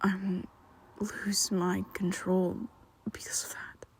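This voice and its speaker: Woman playing a young male vampire best friend, secretive, protective, slow and halting, half-whispered, ASMR roleplay. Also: mid-range voice, little pitch movement. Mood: pleading. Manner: hesitant, halting, often drops to a whisper.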